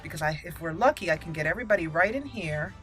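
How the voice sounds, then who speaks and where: Bright-voiced woman playing a game. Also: fairly low pitch, expressive, fast talker, soft background music. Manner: thinks aloud, repeats words. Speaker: gaming channel host. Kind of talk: casual let's play commentary.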